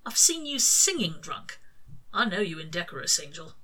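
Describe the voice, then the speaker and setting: A woman's voice: on the low side, big pitch swings, normal speed, sharp tone, full character voice. A woman voicing a laid-back, teasing male demon with a slangy, sardonic way of talking. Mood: gentle, earnest.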